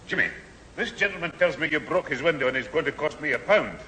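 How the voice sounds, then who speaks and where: Stern elderly grandfather, bright forceful voice, scolding, radio sitcom with faint hiss. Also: on the high side, animated, fast, echoey room. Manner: gruff, scolding. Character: stern, suspicious old Scottish grandfather. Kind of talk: 1970s British radio sitcom acting.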